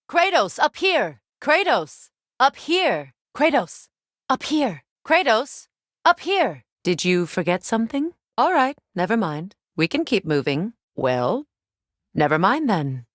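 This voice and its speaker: A woman playing a composed, commanding Norse goddess warrior in a video game, speaking in a measured, deliberate way. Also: fairly high pitch, fairly bright tone, no room sound, clean studio sound, heavily acted. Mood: brisk, commanding, then dismissive. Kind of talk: game companion voice lines.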